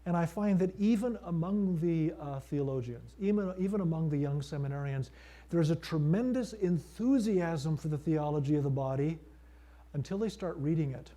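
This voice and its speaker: A middle-aged male priest giving a conference lecture, measured and thoughtful, with frequent fillers and restarts. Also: fairly high pitch, expressive, warm tone. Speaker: priest and seminary teacher.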